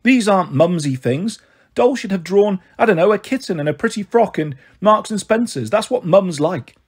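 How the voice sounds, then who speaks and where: A woman reader puts on a tearful British mum in her thirties for a storybook. She is self-pitying and flighty, pleading in a raised, husky voice. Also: medium pitch, expressive, brisk, a little mellow, pitched above the performer's own voice. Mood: distressed, apologetic. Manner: gloomy, emotional, pleading.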